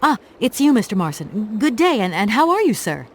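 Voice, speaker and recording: An actress playing a polite, persistent young traveller in a video game, courteous and well-spoken. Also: female voice, fairly high pitch, very animated, fairly quick, slight background noise. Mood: polite, upbeat.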